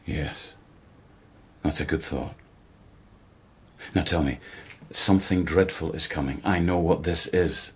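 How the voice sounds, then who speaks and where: Radio drama: a devoted court policeman, very low and breathy, measured and gently insistent in interrogation. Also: full character voice. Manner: quiet, measured, gently pressing questioner. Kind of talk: literary radio drama acting.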